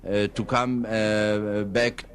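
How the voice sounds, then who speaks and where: A man from Israel with an Israeli accent, giving formal, diplomatic and halting answers as a radio guest. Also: on the low side, little pitch movement. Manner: measured, diplomatic, halting with long hesitations. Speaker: embassy spokesman, radio guest.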